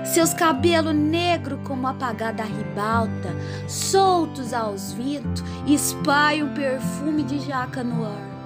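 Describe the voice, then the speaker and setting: A folksy woman narrator, played by an actress tells a comic radio serial slowly in a fairly high voice. Her rural Brazilian accent and wry, theatrical delivery sit over clear music. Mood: wry, dramatic.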